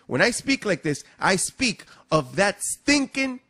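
A man's voice: sits high, big pitch swings, measured pace, sharp tone. A man delivering an angry, polemical sermon-like speech in a vehement, scornful manner.

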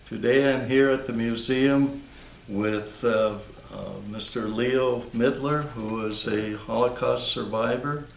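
Interviewer: older male volunteer, American accent, creaky voice, echoey room with slight noise. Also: mid-range voice. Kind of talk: formal oral history interview. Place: small office indoors, seated. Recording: roomy, faint background noise.

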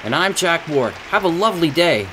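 A man's voice: a little high, very animated, brisk. A man co-hosting a show in scripted comic banter. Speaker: podcast co-host.

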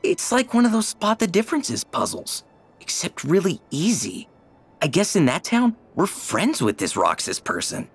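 A man playing an eager, chatty, curious teenage boy sleuth in an animated video game. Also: sits high, moderate pace, gravelly, slightly rough, soft background music, heavily acted. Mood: musing.

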